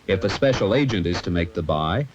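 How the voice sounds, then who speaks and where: A man's voice: low voice, expressive, brisk, noisy background. A man with an American accent delivering scripted, formal, authoritative narration for an old military training film. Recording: dull 1970s film soundtrack with music.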